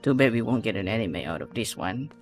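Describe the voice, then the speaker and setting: A man's voice: lowish voice, expressive, quick pace. A man giving casual reaction commentary as a video host, rambling and often correcting himself. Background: music.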